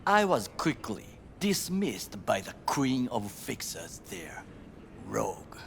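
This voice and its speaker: An actor playing a grave, proud middle-aged Japanese ex-bodyguard in a video game, speaking formal, clipped English with a Japanese accent. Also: male voice, medium pitch, big pitch swings, takes it slowly, gravelly, noisy background, heavily acted. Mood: stern, guarded. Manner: formal, clipped, stern phrasing. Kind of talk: video game dialogue acting.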